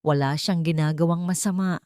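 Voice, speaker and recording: Brooding, guarded male boss and father, read by a woman, lowish pitch, intense romance audiobook delivery, dry sound. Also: fairly even intonation, fairly quick, a little mellow, clean tone, no echo, lightly acted. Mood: protesting.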